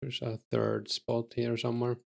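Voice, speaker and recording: A man's voice: medium pitch, monotone, measured pace, creaky, a little mellow. A man with a Finnish accent chatting casually with his stream chat while he plays, answering viewers' questions with an easygoing, filler-laden delivery. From Finland. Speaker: game streamer.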